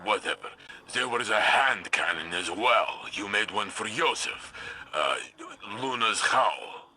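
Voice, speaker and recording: An actor playing a proud, teasing, blunt old-soldier warrior in a video game cutscene, with an emphatic, halting delivery. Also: male voice, lowish voice, lively intonation, pushed and bright, slightly rough, full character voice. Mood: curious. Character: gruff, teasing, proud veteran male warrior, jovially blunt.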